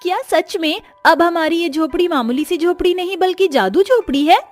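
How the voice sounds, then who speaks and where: Woman playing an excitable, high-pitched village daughter. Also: moderate pace, soft background music. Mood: amazed, hopeful. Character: Lali, poor village daughter, wide-eyed and excitable. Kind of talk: dramatised moral storytelling.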